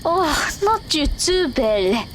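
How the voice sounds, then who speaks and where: A woman's voice: sits high, big pitch swings, takes it slowly, slight background noise, full character voice, poor audio. A woman playing a squeamish, defensive young girl in an audio drama. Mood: flustered, whiny.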